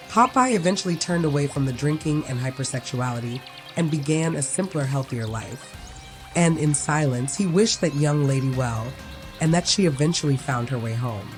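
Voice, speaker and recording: A narrator of ambiguous sex reading a scripted dramatic story. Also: medium pitch. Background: music.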